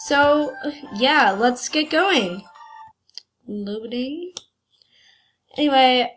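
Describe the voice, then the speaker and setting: Slow, hesitant woman with a cold. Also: fairly high pitch, a bit bright. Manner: hesitant and rambling, many fillers. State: has a cold. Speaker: gaming channel host. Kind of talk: casual gameplay commentary. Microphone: computer screen recording.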